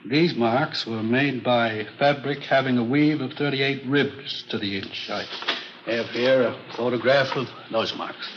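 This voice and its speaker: An actor playing a matter-of-fact forensic expert witness in an old radio drama, testifying in a measured, unemotional way. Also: male voice, medium pitch, close to an everyday voice. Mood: factual, measured. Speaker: forensic chemist on the witness stand. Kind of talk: 1950s radio drama acting. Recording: muffled, noisy old radio recording.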